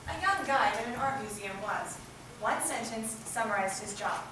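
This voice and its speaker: A woman's voice: a little high, animated, moderate pace. A woman narrating a scripted story as part of a readers' theatre group. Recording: echoey hall, distant mic, loud noise.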